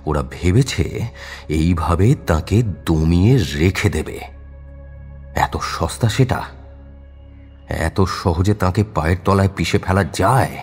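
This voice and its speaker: A man's voice: sits low, slow, long pauses, darkish tone, breathy edge, soft background music. A man narrating an audio story in a dramatic, scripted storytelling style.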